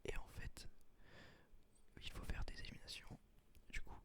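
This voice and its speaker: A man whispering ASMR gameplay commentary in a breathy voice, explaining things casually with slang. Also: measured pace, a bit bright. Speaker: gaming video creator.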